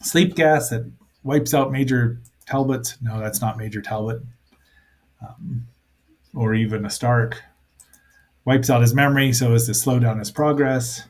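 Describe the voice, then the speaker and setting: Man giving halting, playful cartoon commentary. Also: mid-range voice, a little mellow. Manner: halting, thinking aloud, playful asides. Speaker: host of a cartoon review show. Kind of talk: casual rambling commentary on a cartoon.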